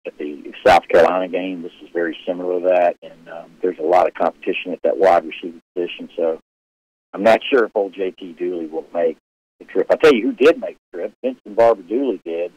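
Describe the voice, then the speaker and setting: A man with a very low, loud voice chats casually on a live sports stream, rambling and restarting his sentences. The audio is dull and lo-fi, and it is close and dry with no room sound. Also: fairly quick, breathy edge, no room sound. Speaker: sports show host answering viewer questions. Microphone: live stream setup.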